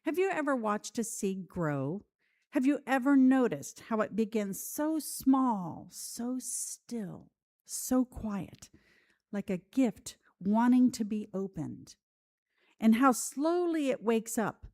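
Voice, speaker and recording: A woman storyteller at a church service reads an all-ages story gently, with deliberate dramatic pauses. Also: on the high side, very animated. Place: church service, speaking to a congregation.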